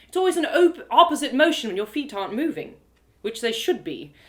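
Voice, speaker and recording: A woman voicing a demanding female elf warrior, brisk and exacting as a sword teacher in an audio story. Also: on the high side, big pitch swings, pitched above the performer's own voice. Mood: stern, instructing.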